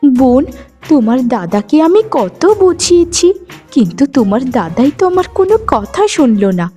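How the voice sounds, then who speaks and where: A woman acts a meek young wife in a moral-story cartoon. Her high voice is plaintive, submissive and long-suffering, set over faint music. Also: big pitch swings, moderate pace, warm tone. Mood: apologetic. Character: meek, pleading young daughter-in-law, long-suffering and gentle.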